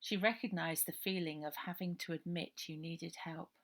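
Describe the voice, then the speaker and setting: Female storyteller for middle-grade fiction, lowish pitch with a creaky edge. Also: steady tone, normal speed, a bit bright. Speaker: author reading own novel aloud. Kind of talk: children's story narration, unedited.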